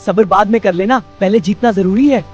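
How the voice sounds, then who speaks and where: A woman's voice: medium pitch, brisk, a little mellow, muffled recording, natural voice. A woman voicing a boastful, impatient and competitive young boy in a children's cartoon, with a cocky manner. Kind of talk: children's moral cartoon story acting. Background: music.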